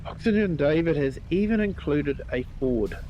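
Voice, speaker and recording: A man with a New Zealand accent hosting a live gameplay stream, chatting with viewers in a relaxed way, his voice a little croaky from a cold. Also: on the high side, normal speed, lo-fi sound, a little hiss or hum. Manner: relaxed, chatty, greets viewers by name, many ums. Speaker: livestream host.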